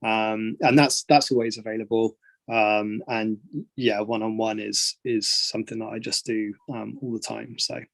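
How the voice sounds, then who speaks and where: A man's voice: on the low side, level tone, normal speed, vocal fry, no echo, poor audio. A man from England, a photography coach, answering questions casually on a video call with many fillers and restarts.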